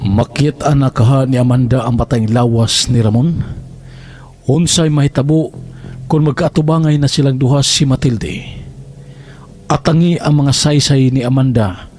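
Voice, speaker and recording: A man's voice: medium pitch, fairly even intonation, normal speed, long pauses, darkish tone. A man narrating a radio drama with dramatic, scripted delivery.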